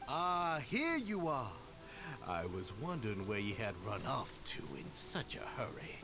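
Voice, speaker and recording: Smooth fake-polite villain in a game, condescending, kinda menacing, voice swings up and down, music behind. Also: male voice, medium pitch, huge pitch swings, a little slow. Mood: feigned politeness. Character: suave male society enforcer, falsely courteous, slippery and menacing. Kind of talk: scripted game voice acting.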